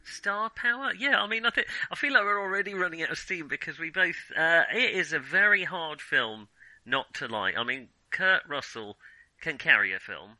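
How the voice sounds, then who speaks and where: Male film podcast co-host: sharp bright tone, dry close sound, halting and amused, conversational. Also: a little high, dry recording, rough recording. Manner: hesitant, halting, thinking aloud; amused, chuckles while talking. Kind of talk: casual film discussion.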